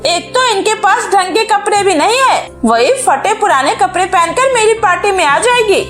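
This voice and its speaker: A woman's voice: very high-pitched, animated, moderate pace, fairly bright tone, soft background music. A woman voicing a snobbish, boastful rich schoolgirl in a cartoon story, gloating and taunting. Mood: mocking.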